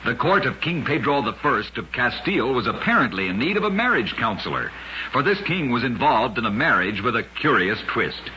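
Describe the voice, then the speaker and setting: An old lo-fi radio recording with slight noise carries a man telling scripted tales of curiosities. His voice is bright and forceful, with a dramatic, measured announcer's delivery. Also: mid-range voice, big pitch swings. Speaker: radio show narrator.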